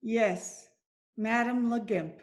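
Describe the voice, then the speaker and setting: A woman playing a resigned but proud down-and-out mother in an old-time radio drama, speaking earnestly in short, halting phrases. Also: a little high, darkish tone. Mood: defiant.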